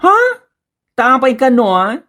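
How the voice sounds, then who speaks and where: A woman's voice: fairly high pitch, big pitch swings, takes it slowly, fairly bright tone, full character voice. A Filipino woman with an Ilocano accent playing a nagging, exasperated village neighbor in a comedy radio drama. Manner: scolding, exclaiming.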